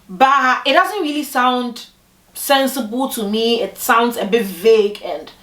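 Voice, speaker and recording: A young woman from Ghana telling personal stories to camera in a lively way, acting out what other people said. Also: measured pace, background noise. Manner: animated, acts out quoted people with big pitch jumps. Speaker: vlogger. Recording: echoey room, voice from a distance.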